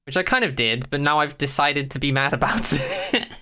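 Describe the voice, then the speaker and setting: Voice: medium pitch, big pitch swings, moderate pace, sharp tone, a little hiss or hum. A podcast co-host chatting casually in a blunt, sweary, opinionated way. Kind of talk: casual chat.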